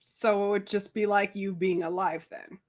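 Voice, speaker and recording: A woman's voice: mid-range voice, fairly even intonation, normal speed, crowd noise. A woman taking part in a tabletop role-playing game as a player, chatting casually about what her character does. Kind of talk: casual roleplay chat.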